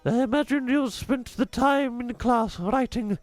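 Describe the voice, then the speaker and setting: A man's voice: a little slow, no room sound, soft background music. A man voicing a strict, scornful middle-aged mother while reading a children's book aloud. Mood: stern scolding. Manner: shrill, scolding, overbearing.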